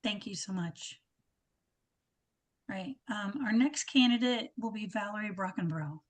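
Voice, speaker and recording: A woman moderates over video call audio, voice at an ordinary pitch for a woman. She keeps things moving in a brisk, light, self-deprecating way. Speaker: forum moderator. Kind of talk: moderating an online forum.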